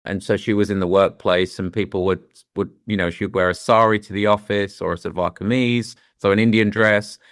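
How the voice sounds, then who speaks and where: Middle-aged british guy, deep smooth voice, thinks out loud with lots of restarts. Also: steady tone, a little fast, few pauses, dry recording, clean studio sound. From London, England. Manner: thoughtful, with frequent restarts and "you know"s. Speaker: guest. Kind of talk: candid reflective conversation.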